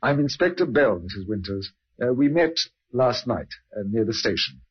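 A dull vintage radio recording of a seasoned police inspector. His voice is husky and swings freely in pitch, with a courteous, patient manner. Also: expressive, normal speed. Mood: measured, polite. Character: considerate, patient, experienced male police inspector. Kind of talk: old-time radio drama acting.

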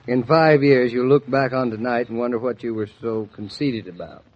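A wry, affectionate young husband, played by an actor, with a husky mid-range voice. He teases his wife in a dry, gentle way. The sound is dull, old radio transcription. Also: mid-range voice, moderate pace, natural voice. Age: young adult. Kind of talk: 1940s radio acting. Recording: muffled 1940s radio transcription.

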